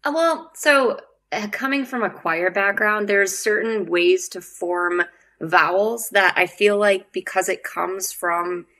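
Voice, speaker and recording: A woman's voice: medium pitch, takes it slowly, bright, forceful tone. A young adult woman singer with a Wisconsin accent, chatting casually as a podcast guest, laughing often and acting out quotes.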